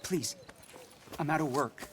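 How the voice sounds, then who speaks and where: Nervous indebted hunter, stammering, pleading, higher-pitched, light background noise, video game cutscene. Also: male voice, slow pace. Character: nervous, pleading male debtor and cougar hunter.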